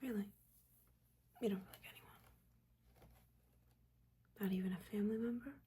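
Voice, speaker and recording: A woman doing a soft-spoken, breathy, mostly whispered ASMR roleplay as a stern, disappointed witch mentor, with a halting, scolding manner. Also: medium pitch, steady tone. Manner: scolding, halting, dryly exasperated. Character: stern, disappointed witch mentor, nagging but secretly caring. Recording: audible background ambience noise.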